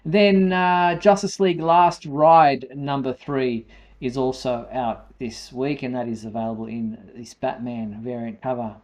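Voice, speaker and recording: A man hosting a live fan news show, announcing items in a halting way with frequent fillers. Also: mid-range voice, flat delivery, darkish tone. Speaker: show host.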